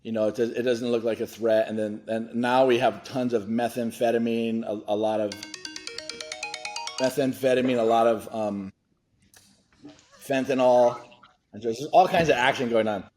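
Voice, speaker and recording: A man in his forties from Hawaii talks over a video call, earnest and halting. His voice sits at an ordinary middle pitch, and he often restarts his sentences as he gives his story. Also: steady tone, darkish tone, faint music behind. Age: 40s. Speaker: nonprofit foundation director, guest. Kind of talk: candid interview talk.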